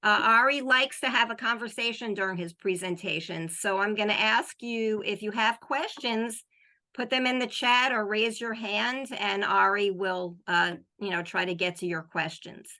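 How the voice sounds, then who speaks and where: Bright-voiced woman hosting a video call. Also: medium pitch, fairly even intonation, moderate pace. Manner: filler-laden, then reads formally. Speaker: host and moderator. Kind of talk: reading an introduction.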